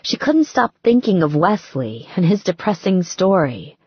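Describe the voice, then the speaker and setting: A woman reading a novel aloud as an audiobook narrator, expressive and scripted. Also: fairly low pitch, a little slow, husky, no echo, highs cut off.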